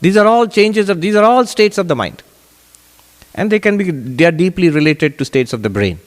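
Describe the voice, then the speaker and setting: High-pitched male spiritual teacher, echoey hall. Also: expressive, moderate pace. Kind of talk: teaching Vedanta, answering audience questions. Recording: echoey hall with long reverb.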